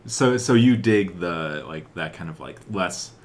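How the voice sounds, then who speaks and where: Deep-voiced man in casual podcast chat. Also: lively intonation, unhurried. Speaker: podcast co-host. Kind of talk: casual chat about video games.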